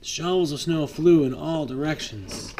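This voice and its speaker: A man reading a picture book aloud to his child at bedtime, lively, pausing to chat with the child. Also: fairly high pitch, slight background noise, rough recording. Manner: lively read-aloud, voices for the trucks, chats with the child. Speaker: father reading a bedtime story.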